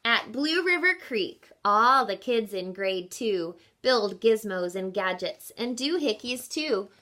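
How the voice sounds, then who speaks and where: A woman teacher reading a picture book aloud to children in an expressive, instructive way, with deliberate emphasis. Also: medium pitch. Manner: teacherly, asks listeners questions, deliberate emphasis.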